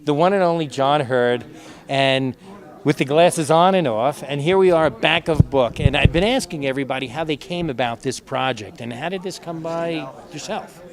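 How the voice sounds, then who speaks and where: A man's voice: fairly high pitch, moderate pace. A man hosting on-location interviews in an upbeat, effusive and affirming manner. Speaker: interviewer and show host.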